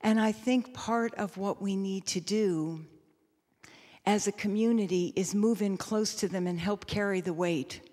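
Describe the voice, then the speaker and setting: A woman pastor giving reflective, sermon-like panel remarks, measured and emphatic, often repeating phrases. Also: medium pitch, warm tone. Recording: echoey hall with long reverb.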